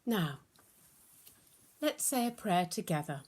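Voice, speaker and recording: A lower-voiced woman reads a scripted children's Bible story. She takes her time, speaking slowly in simple phrases with deliberate, expressive delivery. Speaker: storyteller and prayer leader.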